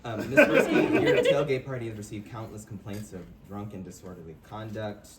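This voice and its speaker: A stiff, officious corporate envoy played by a man with a low voice that moves around a lot, speaking haltingly in a live comedy read. The recording is roomy and very noisy. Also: animated, lightly acted. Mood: flustered. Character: stiff, flustered corporate envoy of a football team. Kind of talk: live comedy script reading. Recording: roomy, distant mic, very loud noise.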